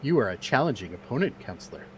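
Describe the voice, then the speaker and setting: A man's voice: medium pitch, sing-song, fast talker, a little hiss or hum, poor audio. A man playing a courteous, analytical android officer in an amateur radio drama, speaking in formal, precise phrases. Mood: intrigued. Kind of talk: amateur radio theatre reenactment.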